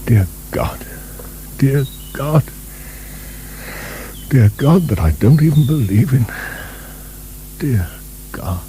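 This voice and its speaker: A man's voice: medium pitch, animated, slow, long pauses. An actor playing an earnest, fanciful male asylum director in a radio drama, musing and hesitant as he searches for words. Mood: troubled. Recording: soft recording with faint hum.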